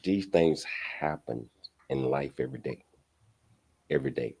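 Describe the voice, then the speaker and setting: A man's voice: a bass voice, measured pace, vocal fry, warm tone, slightly rough. A man hosting a radio show, addressing listeners in a conversational presenting style.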